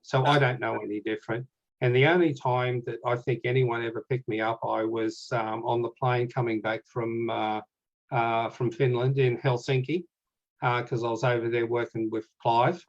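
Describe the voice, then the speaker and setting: A man with an Australian accent, a guest author talking in a casual, rambling way and clearing his throat now and then. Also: medium pitch, flat delivery, moderate pace, lo-fi sound. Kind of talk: casual conversation.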